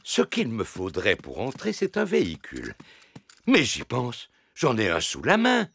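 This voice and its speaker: A man's voice: mid-range voice, huge pitch swings, measured pace, a bit bright, slightly rough, full character voice. A man voicing a jolly, easygoing father pig in a children's cartoon dub. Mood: musing. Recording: music behind the voice.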